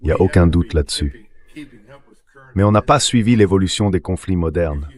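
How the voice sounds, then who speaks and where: A man's voice: low voice, normal speed, lightly acted. A man's synthetic dubbing voice, speaking as a guest giving translated interview commentary.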